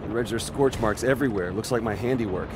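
A man's voice: fairly low pitch, quick pace, noisy background, close to an everyday voice. An American man playing a cocky, wisecracking young superpowered rebel in a video game, casual and flippant. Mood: casual, low. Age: young adult. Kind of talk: naturalistic game cutscene acting.

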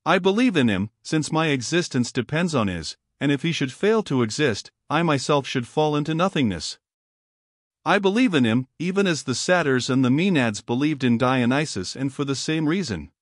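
A man voicing a calm, haughty fallen angel. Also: a little high, no room sound, clean studio sound. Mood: impassive. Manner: calm, impassive, measured. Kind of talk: audiobook character reading.

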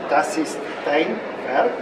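A man's voice: on the high side, expressive, takes it slowly. An older man interviewing artists at an art fair, effusive and full of thanks. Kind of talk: casual interview. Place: large art fair hall, indoors. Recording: echoey fair hall, camera far off, crowd and noise behind.

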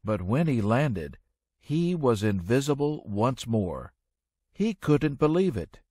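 A man narrating a rhyming children's story in an expressive, measured storytelling manner. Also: medium pitch, no room sound, clean studio sound.

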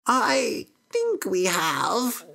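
Shrill bossy old mother, as a woman voices her. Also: huge pitch swings, very slow, gravelly, a bit bright, full character voice. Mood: bossy, excitable. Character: silly, pushy old mother, lazy and excitable.

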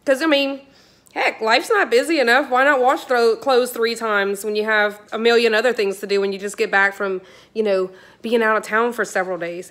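A woman's voice: mid-range voice, a bit bright. A woman, telling a home mishap story to a phone camera in her kitchen, exasperated and chatty. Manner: exasperated, chatty, addresses viewers directly. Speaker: vlogger, mother. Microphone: phone at arm's length.

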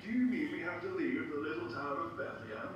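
A woman voicing a grumbling but devout stable animal puppet in a scripted show, with slow, deliberate stage delivery. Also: deep voice, lively intonation, muffled recording, slight background noise. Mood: earnest. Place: church hall, puppet stage, far camera. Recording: very echoey church hall, distant mic.

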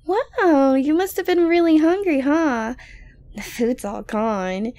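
A woman playing an obsessive, dominant yandere girlfriend in an ASMR roleplay, creepily tender and condescendingly sweet, breathy and often close to a whisper. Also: high-pitched, lively intonation, warm tone. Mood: sweet, upbeat. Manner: slow, coaxing, condescendingly sweet. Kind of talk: ASMR roleplay monologue to the listener.

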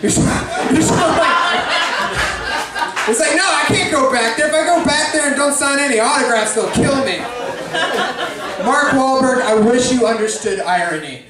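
A very high-voiced man rants through a profane stand-up set, laughing at his own jokes. His voice rings through a hugely echoey pub and comes from well off the mic. A lively crowd is heard behind him. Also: normal speed, recorded from a distance. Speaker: stand-up comedian and guest host. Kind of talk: live stand-up comedy.

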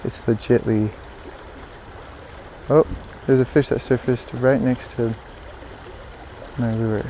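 A man giving excited, casual fishing commentary, repeating words in bursts, speaking outdoors into a body-worn action camera. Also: medium pitch, takes it slowly, pauses often. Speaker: fishing vlogger. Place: outdoors beside a stream. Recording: outdoor water noise behind voice.

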